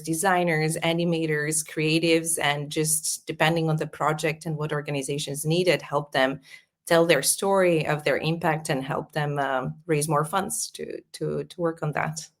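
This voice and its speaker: A woman's voice: low-pitched, fairly bright tone. A middle-aged woman from Serbia, a podcast guest, talking thoughtfully with many small restarts. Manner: thoughtful, with frequent restarts and fillers. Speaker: podcast guest, agency founder. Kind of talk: casual interview talk.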